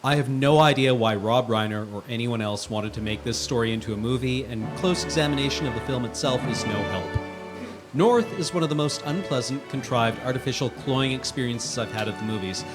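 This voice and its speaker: A man's voice: mid-range voice, brisk, music in the background. A man giving an emphatic, exasperated dramatic reading of a written review. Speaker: guest reader on a charity livestream.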